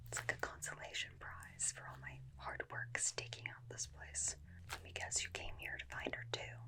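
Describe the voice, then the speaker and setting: A woman playing an obsessed, bossy yet caring vampire slayer in a hushed ASMR roleplay, coaxing a captive listener up close into a binaural microphone. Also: close to an everyday voice, poor audio.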